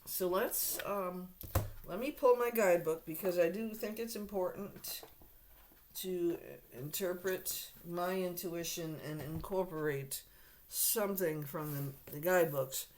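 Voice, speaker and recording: A woman doing a casual tarot reading to camera, hoarse and losing her voice, clearing her throat often and speaking to the viewer bluntly and directly. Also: on the low side, measured pace, darkish tone, slight background noise. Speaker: tarot reader.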